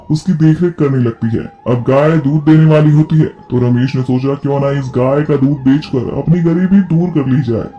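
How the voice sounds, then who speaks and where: A male storyteller reading a scripted narration. His voice is warm and dark, sitting at a middle pitch. Light music plays underneath. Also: lo-fi sound.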